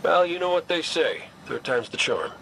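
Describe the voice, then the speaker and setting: Folksy wisecracking gunslinger. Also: male voice, on the high side, big pitch swings, normal speed, gravelly, sharp tone, a little hiss or hum, exaggerated voice, low-quality recording. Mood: animated, wry. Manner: folksy, casual, wry. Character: wisecracking male gunslinger, folksy, weary but stubborn. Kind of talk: video game voice acting.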